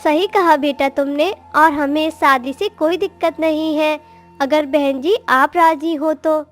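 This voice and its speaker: A woman's voice: high voice, steady tone, unhurried, soft background music. A woman voicing a kindly, well-off older mother bird in a moral-story cartoon. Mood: agreeable.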